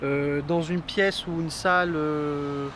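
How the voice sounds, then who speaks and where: A man's voice: a little high, fairly even intonation, slow pace. A man chatting casually about gear, in an informal, colloquial way. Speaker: videographer giving product opinions. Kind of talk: casual chat. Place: outdoors by a street. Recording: outdoors with faint traffic noise.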